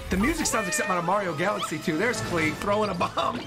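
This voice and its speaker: A man's voice: sits high, fast. A young man giving casual live reaction commentary as a streamer, effusive and often repeating himself. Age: young adult. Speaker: streamer reacting to game trailers. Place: small furnished room at home. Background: game trailer music.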